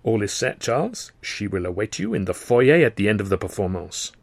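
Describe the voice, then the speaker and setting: Audiobook role: worldly Parisian gentleman, read by male narrator, knowing, nonchalant, confiding, very dry recording. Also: lowish voice, big pitch swings, a little fast, gravelly, breathy edge, dry recording, exaggerated voice. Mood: satisfied. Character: suave, knowing Frenchman, worldly Parisian fixer.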